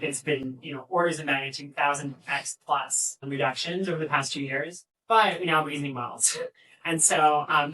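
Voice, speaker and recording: Distant event recording, man, fairly high bright voice, halting panelist explanations. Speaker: panelist, AI agent engineer. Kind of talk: fireside chat answers. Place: live fireside chat event. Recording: distant mic, poor event audio.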